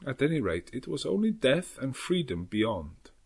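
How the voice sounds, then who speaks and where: Guy doing old professor for an audiobook, husky, grave and haunted, dramatic halting delivery. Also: mid-range voice, big pitch swings, darkish tone, exaggerated voice. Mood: solemn dread. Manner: slow, dramatic, halting foreign phrasing. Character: elderly Dutch professor, solemn, anguished vampire hunter. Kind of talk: audiobook character narration.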